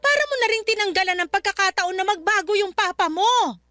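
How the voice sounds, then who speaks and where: A woman's voice: very high-pitched, fairly quick, sharp tone, no echo. A woman acting a worried, long-suffering Filipino mother in a radio drama, pleading and scolding as she tries to keep peace. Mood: reproachful.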